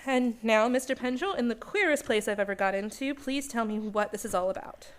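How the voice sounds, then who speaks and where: A woman playing a bold, curious young lady in a radio play, brisk, arch and dryly teasing. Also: a little high, natural voice. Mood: brisk, insistent. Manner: brisk, arch, self-possessed.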